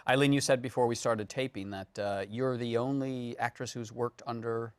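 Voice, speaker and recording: A man's voice: mid-range voice, steady tone, normal speed, clear, resonant tone, no room sound. A man co-hosting a talk show, interviewing guests in a conversational way. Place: TV studio.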